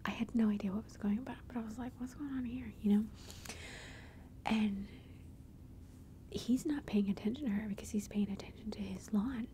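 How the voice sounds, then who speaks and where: A woman telling a personal story in a soft, chatty whisper, ASMR style. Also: medium pitch, steady tone, moderate pace, pauses often, a little hiss or hum. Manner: soft unvoiced whisper throughout; chatty, conversational asides.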